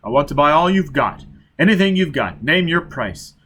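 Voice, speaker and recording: A man's voice: on the high side, normal speed. Man playing a blunt, driven interrogator. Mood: blunt, eager. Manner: blunt, forceful, impatient. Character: grim, driven man demanding answers. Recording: steady background noise.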